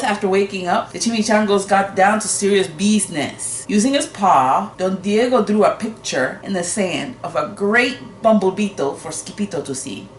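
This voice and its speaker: A woman reading a children's book aloud in an expressive storytelling style. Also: mid-range voice. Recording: roomy home recording, background noise.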